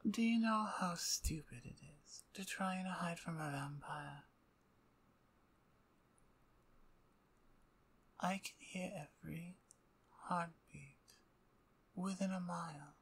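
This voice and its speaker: A woman playing a menacing, playfully dominant female vampire in an ASMR audio roleplay, with a breathy, husky voice and a taunting, mock-courteous manner. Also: on the low side, unhurried.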